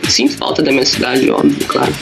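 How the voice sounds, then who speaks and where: A woman's voice: very deep voice, huge pitch swings, quick pace, creaky, poor audio. A woman with a São Paulo accent giving a casual personal testimony. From São Paulo, Brazil. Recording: loud music over the voice.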